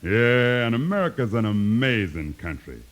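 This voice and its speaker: A man's voice: medium pitch, big pitch swings, normal speed, close to an everyday voice. A man acting an easygoing, talkative train porter in a 1950s radio comedy. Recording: vintage 1950s radio recording.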